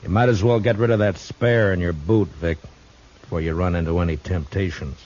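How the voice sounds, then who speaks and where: A man acting a calm, shrewd Old West marshal in a western radio drama, speaking in a dry, measured way. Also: sits low. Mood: firm, dry. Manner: dry, measured, unhurried questioning. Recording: dull, noisy old radio recording.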